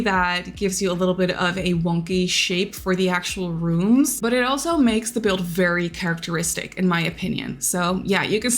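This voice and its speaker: A young woman whose first language is Dutch, chatting casually in a voice-over about a building game, with lots of fillers and repeated words. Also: on the low side. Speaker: Sims builder YouTuber. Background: soft background music.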